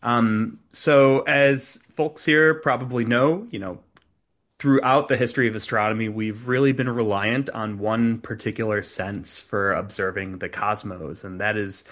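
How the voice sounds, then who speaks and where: A man's voice: fairly low pitch, measured pace. Easygoing man lecturing over a video call. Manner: relaxed, conversational, many ums. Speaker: guest speaker, astrophysicist.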